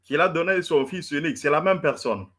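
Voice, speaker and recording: A man's voice: a little high, animated, a little fast, a bit bright. A man debating in French, which is not his first language, stammering and repeating words as he lectures. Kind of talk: heated live debate.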